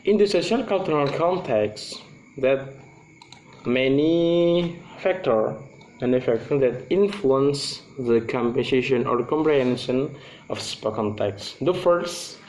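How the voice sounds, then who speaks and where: Young indonesian guy doing a class talk in english, slow and halting, keeps stopping to find words. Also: medium pitch, expressive, darkish tone, rough recording. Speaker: student presenter. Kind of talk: class presentation.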